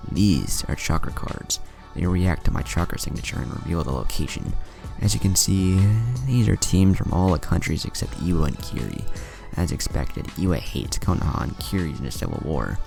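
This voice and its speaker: A man's voice: low voice, animated, normal speed, creaky. A man voicing an informative young male ninja who explains and lists facts, with music behind. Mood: explaining. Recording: music under the voice.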